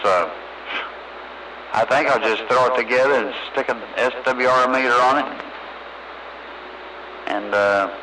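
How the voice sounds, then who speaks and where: Folksy man, mid-pitched, casual radio chat, clear static and hum throughout. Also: unhurried, pauses often, pushed and bright. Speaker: CB radio operator. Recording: noisy CB radio transmission.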